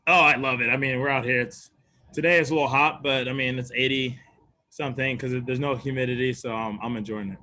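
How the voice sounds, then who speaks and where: A man's voice: medium pitch, fairly even intonation, fast talker, sharp tone. A young man from Sweden, a college football player, answering press questions in an easygoing way with frequent laughs and fillers. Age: young adult.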